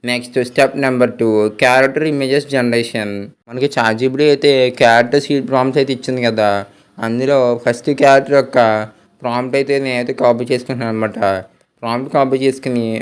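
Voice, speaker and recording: A man's voice: mid-range voice, steady tone, fast, clear, resonant tone. A man hosting a step-by-step tech tutorial in voice-over, mixing English tech terms into his speech.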